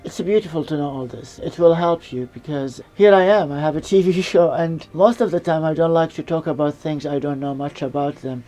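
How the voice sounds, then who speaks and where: A woman's voice: sits low, a little fast, few pauses, warm tone, soft background music. A woman from Iraq, hosting her TV show in a reflective, rambling monologue with loose grammar. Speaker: TV show host.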